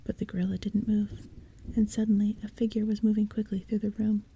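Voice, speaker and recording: A woman narrating an audiobook in a soft, hushed whisper. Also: medium pitch, level tone, fairly quick, a little hiss or hum, rough recording.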